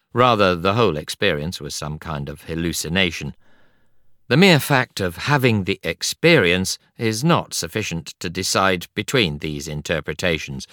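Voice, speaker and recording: A man reading a non-fiction audiobook in a measured, articulate and expressive way. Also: low-pitched, dry recording, studio quality. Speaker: audiobook narrator. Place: studio.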